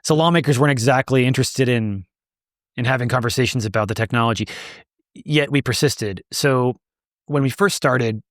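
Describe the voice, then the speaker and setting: A man's voice: medium pitch, moderate pace, no room sound, clean studio sound. A man answering interview questions as the founder of an advocacy group, speaking emphatically and repeating words as he builds a point.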